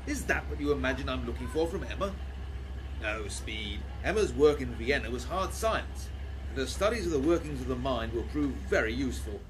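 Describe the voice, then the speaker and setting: Man playing animated, proper Victorian professor. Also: medium pitch, normal speed, slight background noise, close to an everyday voice, poor audio. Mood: earnest. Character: proper gentleman Victorian professor, protective uncle. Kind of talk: steampunk radio play acting.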